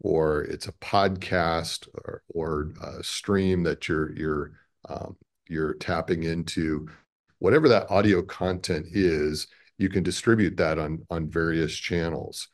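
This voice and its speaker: A man in his fifties speaks in a low voice with a lot of fry in it. He answers interview questions conversationally and in a measured, hesitant way. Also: steady tone. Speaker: radio station president, interview guest. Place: small indoor office, on a video call.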